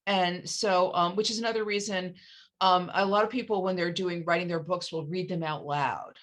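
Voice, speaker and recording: A woman with a low, deep voice leads a casual author conversation. She talks quickly and laughs at herself along the way. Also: fairly even intonation, a bit bright. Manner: quick, self-deprecating, with laughs. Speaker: interviewer.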